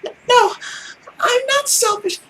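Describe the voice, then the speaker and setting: A frightened young daughter, acted out by a man speaks in a very high falsetto. She pleads and hesitates like a visual novel heroine under pressure. There is some noise behind the voice. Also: slow, a bit bright, full character voice, low-quality recording. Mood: distressed.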